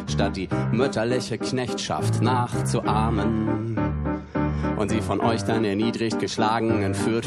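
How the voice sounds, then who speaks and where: A man reciting a spoken-word poem in a declamatory manner. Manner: declamatory, rising to impassioned high-pitched outbursts. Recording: loud guitar music behind.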